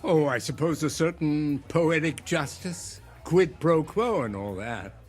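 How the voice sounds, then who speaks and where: An actor playing a sinister, genteel older man in a radio drama, speaking in measured, savoring, ornate phrases. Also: on the high side, lively intonation. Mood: smooth, knowing.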